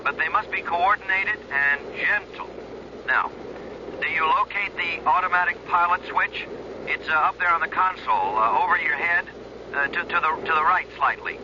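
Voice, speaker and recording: A patient colonel acted by a man talks a novice through flying. His voice sits fairly high, reassuring and a little halting, in radio drama style. The sound is dull, with a clear aircraft drone underneath. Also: noisy background, lightly acted. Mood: patiently instructing. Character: calm, coaxing air force colonel guiding a novice pilot. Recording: muffled radio recording, aircraft drone behind.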